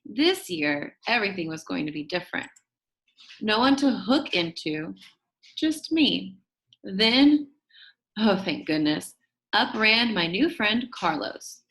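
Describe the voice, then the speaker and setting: A shy but upbeat, quirky school kid tells their own storybook tale , read aloud by a woman. The delivery swoops widely in pitch at a medium register, chummy and expressive, with dramatic emphasis on key words. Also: slow, exaggerated voice, rough recording. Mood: chatty, earnest. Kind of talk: first-person children's book narration.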